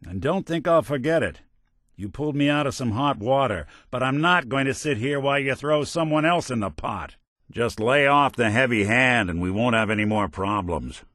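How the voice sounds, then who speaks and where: A man's voice: medium pitch, animated, normal speed, fairly bright tone, no echo, clean studio sound, natural voice. A male actor playing a world-weary, principled android detective in a video game, with a wry, folksy hardboiled way of talking and old-fashioned slang. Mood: stern, fed up. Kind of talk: video game companion dialogue, noir detective.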